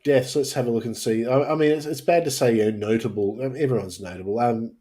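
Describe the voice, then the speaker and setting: A man co-hosting a podcast, chatting casually in a hesitant, halting way. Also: mid-range voice, dark tone. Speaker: podcast co-host. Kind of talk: casual panel chat.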